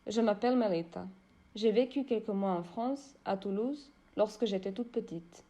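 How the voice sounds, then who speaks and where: Croatian woman, 20s, testimonial; low fry, warm tone, composed. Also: moderate pace. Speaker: architecture student. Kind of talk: personal testimony.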